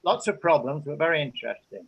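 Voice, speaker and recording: An older man talks at an easy pace with a slightly high voice, stopping often to find his words. The sound is muffled and lo-fi. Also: lively intonation, gravelly, breathy edge. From England. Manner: unhurried, hesitant with frequent fillers. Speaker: interviewee. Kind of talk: casual interview answers.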